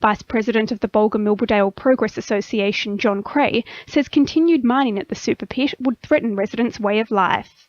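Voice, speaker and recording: A woman's voice: fairly high pitch, fairly quick, no echo, highs cut off. An Australian woman reading a scripted radio news bulletin as the station's news reader. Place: dry radio studio.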